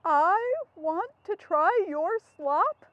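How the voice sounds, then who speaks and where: A woman voicing a wary, easily disgusted male elephant in a children's storybook, with hesitant, drawn-out questions. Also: extremely high pitch, lively intonation, fairly bright tone, dry recording, dull audio, heavily acted. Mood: disgusted, doubtful.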